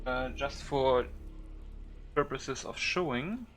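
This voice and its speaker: A man's voice: medium pitch, lively intonation, slow pace, fairly bright tone, faint music behind. A man doing loose, informal game commentary as a YouTuber, thinking aloud without a script. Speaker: gaming YouTuber showing a modded game. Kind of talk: casual unscripted game commentary.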